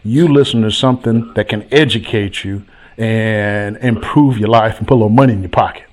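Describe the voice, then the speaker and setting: A man hosting a call-in chat as a real estate coach, talking in an animated, preachy way and repeating himself for emphasis. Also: fairly low pitch, brisk.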